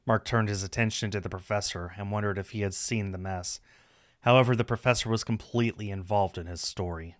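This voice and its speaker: A man's voice: on the low side, fairly even intonation, fairly quick, no room sound, clean studio sound. A man reading story narration aloud from a script. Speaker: streamer reading a visual novel aloud.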